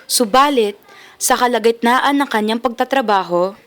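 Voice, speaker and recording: Higher-pitched Filipino woman narrator. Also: normal speed, a bit bright, a little hiss or hum. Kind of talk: scripted radio drama narration.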